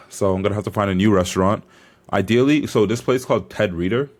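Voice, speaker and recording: A man's voice: lowish voice, brisk. A young man vlogging as a bodybuilder, chatting casually to camera in a hyped, informal, slangy way.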